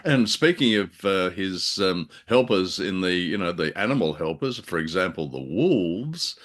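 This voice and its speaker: Older low-voiced man, chatting hesitantly. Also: lively intonation, a little slow, fairly bright tone. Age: older, around 70. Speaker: radio show host. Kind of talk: casual review chat. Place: indoors on a video call.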